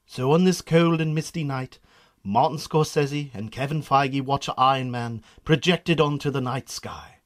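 A man's voice: mid-range voice, unhurried, close to an everyday voice. A man narrating a scripted radio drama in a theatrical storytelling manner.

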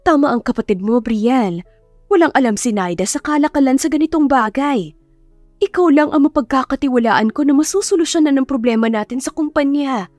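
A woman's voice: high voice, a little fast, darkish tone, no echo, soft background music, pitched above the performer's own voice, close to an everyday voice. Middle-aged mother voiced by a woman, cold, persuasive, reproachful, audiobook drama with dry sound. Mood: firm. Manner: firm, reproachful, pressing. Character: stern, guilt-tripping middle-aged mother, coldly persuasive. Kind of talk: audiobook character acting.

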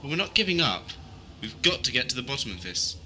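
A man's voice: medium pitch, very animated, quick pace, sharp tone, slight background noise. A man acting in an audio drama as a brusque, dismissive leader of a space team. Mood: sceptical, scornful.

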